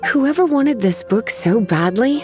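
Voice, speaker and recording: A woman's voice: fairly high pitch, expressive, measured pace, over music. An actress playing a poised, teasing, flirtatious female librarian mage in an anime video game. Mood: composed, playful. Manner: teasing, composed, sultry endearments.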